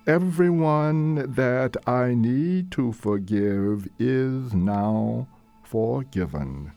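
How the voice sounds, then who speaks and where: Very slow, mellow male meditation guide. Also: mid-range voice, lively intonation, creaky. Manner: gentle, deliberate, measured phrasing with long pauses. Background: soft meditation music.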